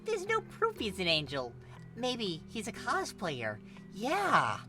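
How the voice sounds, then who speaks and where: A man voicing a gullible, self-righteous, devout young woman in hushed, earnest self-talk. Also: high voice, wildly swooping pitch, slow pace, over music, pitched above the performer's own voice, full character voice. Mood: self-convincing.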